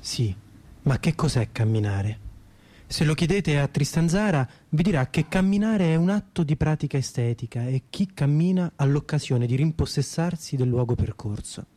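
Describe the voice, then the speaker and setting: An actor playing a whimsical poet, reciting surreal soliloquies for radio theatre with deliberate, declamatory phrasing over plucked guitar. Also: male voice, on the high side, expressive, dark tone. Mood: wry, playful.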